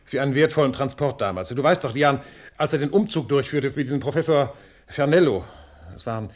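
Evasive, indignant male suspect played by an actor, radio crime drama; hurried, stumbling, mid-pitched voice. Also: close to an everyday voice. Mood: nervous, evasive. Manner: hesitant, defensive, stammering under questioning.

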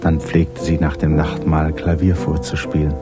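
A man narrating a literary radio drama in a measured, composed storytelling manner. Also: rumbling low, little pitch movement, over loud music. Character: calm omniscient male narrator of a literary tale.

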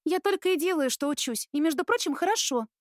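A woman voicing a bubbly, stubborn young student, a younger sister with excitable, girlish chatter, in an audiobook. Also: sits high, quick pace, no room sound, studio quality, pitched above the performer's own voice. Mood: playfully complaining. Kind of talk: audiobook character acting.

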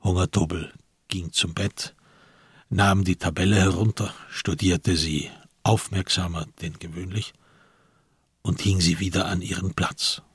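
A man narrating a radio crime drama in a measured, sober, literary manner, with a husky voice. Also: sits low, steady tone, dry recording, full character voice.

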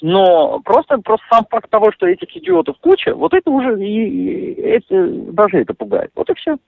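A man talking over the phone, animated and indignant, full of fillers and restarts. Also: sits high, normal speed, vocal fry, dry recording. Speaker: interviewee on a phone call. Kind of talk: casual phone conversation. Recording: dry tinny phone line.

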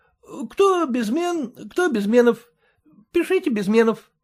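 A man's voice: very high-pitched, expressive, a little slow, a little mellow, voice pushed up. A man doing a fawning young shop clerk. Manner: obsequious, adds polite -s to words. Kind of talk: audiobook character reading.

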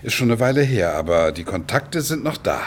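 An actor playing a hesitant, stammering retired widower caught up in a radio crime drama. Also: male voice, on the low side, big pitch swings, fairly quick, heavy background noise. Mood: shy, friendly. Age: older. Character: retired widower, smitten, hesitant amateur sleuth.

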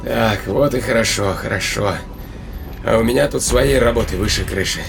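Actor as gravelly, irritable spaceship captain. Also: male voice, medium pitch, normal speed, lightly acted. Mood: weary. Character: gruff male spaceship captain, irritable and weary. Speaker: actor in an audio drama. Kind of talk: dramatized science fiction radio play acting.

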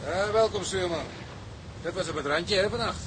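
An actor playing a hearty, dutiful, plain-spoken bosun aboard a sea tug. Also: male voice, high voice, moderate pace, lightly acted. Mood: matter-of-fact. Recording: loud engine and sea noise.

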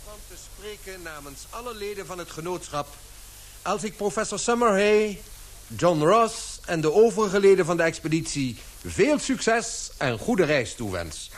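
A man's voice: high-pitched, animated, unhurried. A man acting a formal, courteous but firm British society chairman in a radio play, speaking in an oratorical manner. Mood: solemn, ceremonial.

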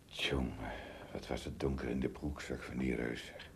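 An actor playing an astonished, easily frightened male traveller, tiny among giants, in a Dutch radio play, talking to himself with exclamations and hesitant repeats, his voice husky and gravelly. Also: extremely low pitch, little pitch movement, normal speed, a little hiss or hum. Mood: amazed.

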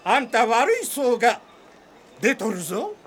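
A man's voice: very high-pitched, sing-song, unhurried, a bit bright, slight background noise, exaggerated voice. A man playing an eccentric old fortune-teller in a radio drama, with folksy old-timer speech. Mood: sly, coaxing.

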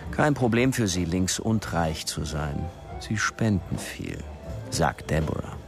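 Radio thriller lead: a dry, observant storyteller with a low, creaky voice over music. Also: male voice, animated, slow, exaggerated voice. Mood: dry, wry. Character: wry, observant male narrator in a spy thriller. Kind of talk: scripted radio drama narration.

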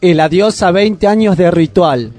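A man's voice: sits high, unhurried. A man announcing short radio headlines. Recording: music clearly behind the voice. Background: music.

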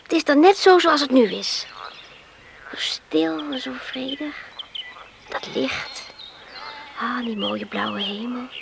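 An actress playing a dreamy, devout young island woman in a radio play, wistful and often hushed. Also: sits high, slow, gravelly, pauses often, background noise, heavily acted. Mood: dreamy, reverent. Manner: hushed, wistful, often dropping to near whisper. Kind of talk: Dutch radio play acting.

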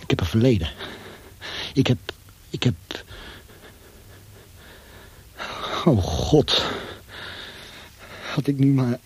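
An actor playing a terrified imprisoned man in a Dutch radio play, reasoning with himself in a halting, hushed monologue with a breathy voice. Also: medium pitch, animated. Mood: anxious.

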